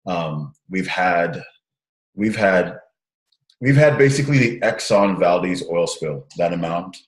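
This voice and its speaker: A man in his thirties talking in a casual interview over a call, rambling with restarts and growing animated as he tells stories. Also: deep voice, unhurried, reverberant, low-quality recording. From Nigeria and Canada, raised partly in the US. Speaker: guest, social impact entrepreneur. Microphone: call recording.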